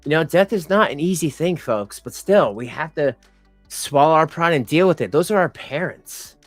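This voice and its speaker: A man's voice: a little high, animated, normal speed, dry recording, soft background music. Sarcastic man addressing viewers as folks. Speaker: commentary streamer. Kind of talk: reaction commentary.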